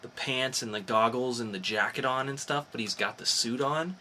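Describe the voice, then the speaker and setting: Bright-voiced man chatting as podcast host. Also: mid-range voice, normal speed, slight background noise. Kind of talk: casual geek news chat.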